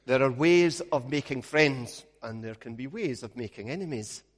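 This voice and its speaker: A Scottish man, a minister, leads a prayer and then preaches, telling stories with a measured pace and gentle humor. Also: medium pitch, in a large hall. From Scotland. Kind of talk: sermon with opening prayer.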